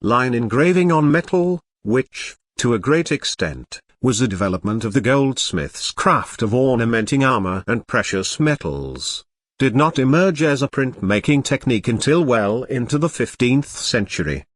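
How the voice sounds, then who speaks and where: A man reading formal text aloud as scripted exam practice narration, with careful, measured phrasing. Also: medium pitch, expressive, dry recording, studio quality.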